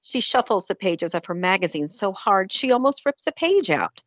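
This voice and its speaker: A woman's voice: medium pitch, sing-song, moderate pace, fairly bright tone, no room sound, muffled recording. A woman reading scripted narration for a radio play.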